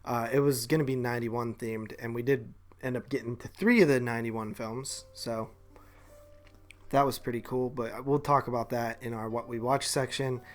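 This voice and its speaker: A man's voice: medium pitch, steady tone, moderate pace, soft background music. A man co-hosting a podcast, chatting in a casual, conversational way. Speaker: podcast co-host. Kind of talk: casual banter.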